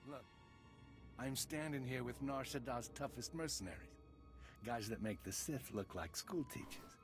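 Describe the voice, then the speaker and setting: An actor playing a cocky, hot-tempered criminal boss in a video game, with a smug, bossy manner. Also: male voice, medium pitch, normal speed, close to an everyday voice. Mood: smug, threatening. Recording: loud game music behind.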